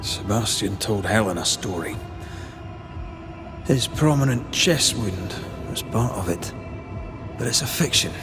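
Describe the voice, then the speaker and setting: Slow, blunt male ghost investigator. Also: fairly low pitch, lively intonation, music in the background. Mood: grim deduction. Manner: measured, blunt. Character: stern, plain-spoken male investigator of ghosts. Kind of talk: video game drama acting.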